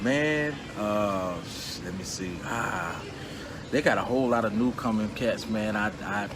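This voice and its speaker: A man, a fighter, talking casually in an interview and explaining his training in a relaxed, street-casual way. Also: fairly low pitch, measured pace, background noise. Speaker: MMA fighter guest.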